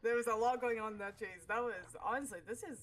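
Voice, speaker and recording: A woman commentating a live esports match with quick, excitable play-by-play, her voice getting worn. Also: a little high. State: losing her voice. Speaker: esports caster. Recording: noisy stream audio.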